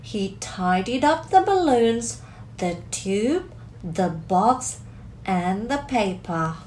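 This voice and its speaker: A woman in her thirties reading a picture book aloud to children, expressive and deliberate, drawing out key words in a small room. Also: mid-range voice, slight background noise. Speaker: storyteller, teacher reading to children. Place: small curtained room indoors.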